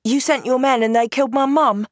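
A woman voicing a fierce young woman speaking flatly and coldly. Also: high voice, fairly quick, dry recording, clean studio sound, voice pushed up. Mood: cold accusing.

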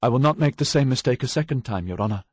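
Frightened middle-aged woman defendant, careful and pleading, pitched up high, as a male narrator puts her on. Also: lively intonation, fast talker, slightly rough, no room sound, studio quality. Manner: pleading, careful formal answers. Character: weary, frightened middle-aged actress on trial.

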